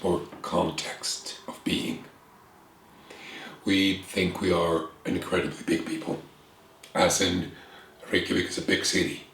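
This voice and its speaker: A man in his thirties with an Icelandic accent, speaking as an interview guest in an earnest, reflective way, in short phrases with pauses. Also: deep voice, a bit bright. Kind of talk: candid conversation. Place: room with bookshelves and a window, at a table. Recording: echoey room with faint hiss.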